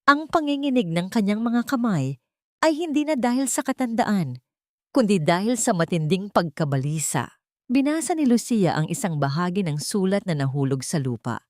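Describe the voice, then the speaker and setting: A woman's voice: medium pitch, very animated, moderate pace, no room sound, clean studio sound. A woman reading a scripted dramatic story as an audiobook narrator.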